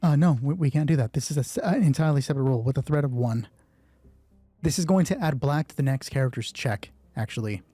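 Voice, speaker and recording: Mellow game master thinking aloud, very dry sound. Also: medium pitch, fast talker, no echo. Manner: sweary, excitable. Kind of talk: solo tabletop roleplay, thinking aloud while rolling dice and narrating.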